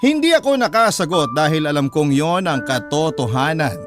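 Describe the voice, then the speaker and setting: High-pitched male storyteller over music. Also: expressive, normal speed. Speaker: radio story narrator. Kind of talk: dramatic first-person story narration. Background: background music.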